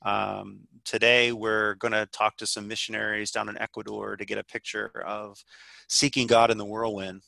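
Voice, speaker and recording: Male interview host, slightly low voice with plenty of fry, dry sound, informal and halting delivery. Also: little pitch movement, bright, forceful tone, dry recording. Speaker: podcast host interviewing guests.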